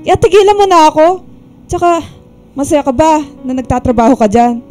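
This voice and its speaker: A woman's voice: sits high, expressive, normal speed, dark tone, soft background music. An actress playing a burned-out woman in her twenties, polite but feisty when provoked, in a Filipino radio drama. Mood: irritated, defiant.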